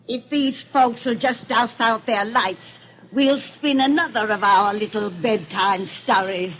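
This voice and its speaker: A woman playing a gleefully sinister, ancient witch with a cracked, cackling voice, telling folksy horror tales in old radio style. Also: a little high. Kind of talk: 1930s radio horror acting. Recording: muffled, noisy old radio recording.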